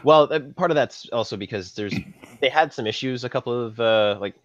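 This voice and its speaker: A man's voice: mid-range voice, fairly quick. A man from Saskatchewan with a Canadian accent, chatting casually as a guest in a podcast interview. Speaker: guest, film props master. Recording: dull lo-fi audio, slightly roomy.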